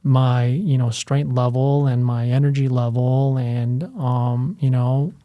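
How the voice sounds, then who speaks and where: A middle-aged man telling his story in a relaxed podcast interview, full of "you know" fillers. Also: mid-range voice, takes it slowly, no room sound, muffled recording. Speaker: guest, fire academy training cadre member.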